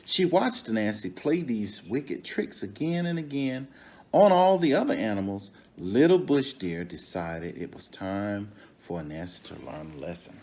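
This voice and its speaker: A man reads a children's story aloud at a leisurely pace. His voice swings widely in pitch, and he talks directly to his listeners in an expressive storyteller's manner. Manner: expressive, pauses to question and comment to the young audience. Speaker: storybook reader.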